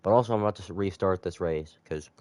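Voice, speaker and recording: A man's voice: deep voice, fast, background noise. A man sick with a cold, slightly nasal, rambling casually to viewers as he commentates a live game stream.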